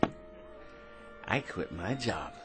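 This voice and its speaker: Deep-voiced, blustering barroom windbag. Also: male voice, very animated, over music, heavily acted. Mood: defensive, blustering. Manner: pompous, stammering, halting. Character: blustering, talkative male bar regular, self-important loser. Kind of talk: old-time radio drama acting.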